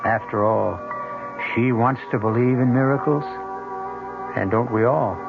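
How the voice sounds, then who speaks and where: Wry radio storyteller over music. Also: male voice, mid-range voice, a little slow, gravelly. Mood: wry, knowing. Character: wry, knowing storyteller of mystery tales. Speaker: host and narrator. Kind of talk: old-time radio scripted narration. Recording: dull old radio recording. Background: loud music.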